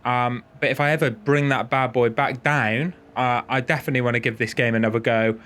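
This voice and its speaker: A man's voice: mid-range voice, steady tone, a little fast, a bit bright. A man with a head cold and a nasal voice, chatting casually and self-deprecatingly as host of a gaming video.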